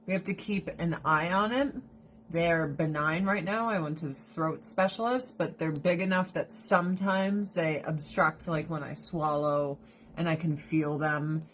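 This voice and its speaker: A woman's voice: deep voice, a little slow. Blunt, laughing woman live streaming. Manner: blunt, profane, animated, laughing often. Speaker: direct sales rep live streaming. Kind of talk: casual live stream chat to viewers. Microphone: phone or camera held close.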